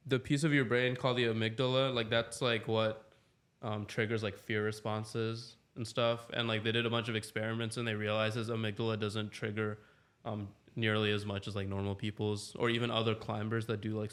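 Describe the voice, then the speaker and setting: A man's voice: on the low side, level tone, moderate pace, fairly bright tone. Rambling young man, casual podcast chat. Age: 20s. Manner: rambling, heavy use of "like". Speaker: podcast co-host.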